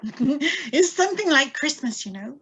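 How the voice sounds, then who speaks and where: A woman playing an eager, stubborn and excitable wife in a radio drama reading, wide-eyed and insistent. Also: high voice, a little slow. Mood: excited, suspenseful. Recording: live video-call recording.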